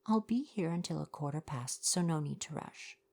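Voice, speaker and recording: Low, creaky-voiced kind merfolk shopkeeper, played by a woman. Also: animated, moderate pace, dark tone, heavily acted. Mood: warm, encouraging. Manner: polite, chatty, helpful customer-service phrasing. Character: kind young merfolk gift-shop keeper, gently sardonic. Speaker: ASMR roleplay performer. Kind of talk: ASMR roleplay, soft-spoken.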